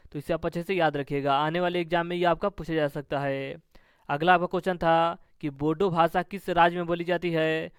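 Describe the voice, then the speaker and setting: Male coaching instructor, very clean smooth voice, close dry sound, formulaic question-then-answer delivery. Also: fairly high pitch, no echo. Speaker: exam coaching teacher.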